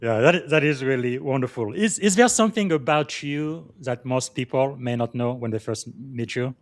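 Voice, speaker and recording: Congolese-born man hosting with many pauses. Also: mid-range voice, no room sound. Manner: frequent filler pauses, enthusiastic introductions. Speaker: podcast host. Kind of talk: hosting an interview.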